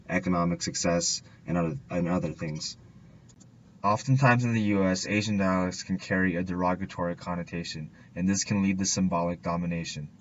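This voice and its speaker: Deep-voiced man reading a school report. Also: dull audio, slight background noise. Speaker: student presenter. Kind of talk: scripted narration.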